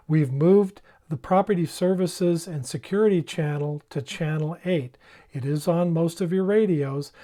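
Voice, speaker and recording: A man's voice: fairly high pitch, mellow. A man giving an informal, plain-spoken instructional explanation, step by step, as a presenter. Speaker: presenter demonstrating emergency radios.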